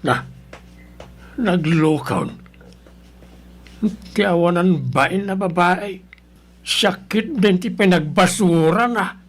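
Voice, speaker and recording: An actress playing a disapproving, grumbling neighbour in an Ilocano radio drama. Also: female voice, on the low side, takes it slowly, long pauses. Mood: annoyed, muttering. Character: grumbling, disapproving neighbour complaining about garbage.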